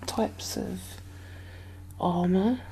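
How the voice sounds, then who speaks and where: A woman playing a caring, uneasy survivor of a zombie apocalypse in a soft-spoken ASMR roleplay, breathy and hesitant, often slipping into whispers. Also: mid-range voice, background noise. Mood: amazed, speculating. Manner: hesitant, musing, halting.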